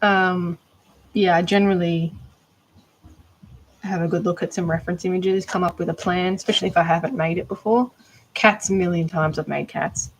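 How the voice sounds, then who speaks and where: A woman's voice: on the low side, fairly even intonation, normal speed, low-quality recording. A woman with an Australian accent chatting casually during a livestream, rambling and thinking aloud while she crafts. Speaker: art doll maker.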